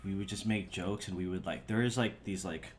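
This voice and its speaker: A man from the Bay Area with a very low voice, telling stories as a podcast guest. He gets excited and swears a lot. Clear background noise sits under him. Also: fairly quick. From San Francisco Bay Area. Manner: excitable storyteller, swears freely. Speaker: guest, photographer. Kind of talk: casual chat.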